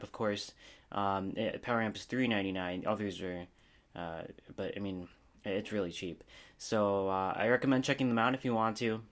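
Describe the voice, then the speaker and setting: A man's voice: mid-range voice, fairly bright tone. A man giving a casual, unscripted review as a video host, rambling informally with a self-deprecating manner.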